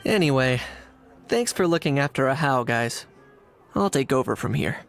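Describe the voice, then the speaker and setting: A calm, dry young man. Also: medium pitch, normal speed, creaky. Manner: calm, dry, matter-of-fact. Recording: game cutscene with music behind.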